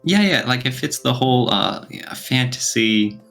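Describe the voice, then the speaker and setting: A man's voice: medium pitch, lively intonation, moderate pace, gravelly, a bit bright. A man co-hosting a casual podcast discussion, explaining his points and posing questions as he goes. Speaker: podcast co-host. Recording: music playing behind the voice. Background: background music.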